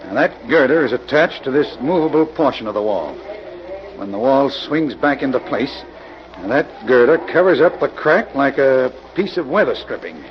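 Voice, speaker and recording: An actor playing a captured crook reluctantly explaining himself, in 1940s radio drama style. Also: male voice, medium pitch, lively intonation, moderate pace, close to an everyday voice. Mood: compliant, explanatory. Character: Evans, captured male crook reluctantly explaining his secret mechanism. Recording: muffled, noisy old radio broadcast.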